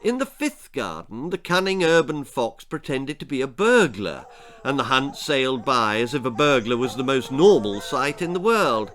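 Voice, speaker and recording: Male English author-narrator for comic children's stories, bright, animated, dramatic. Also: mid-range voice, normal speed. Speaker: author reading his own story.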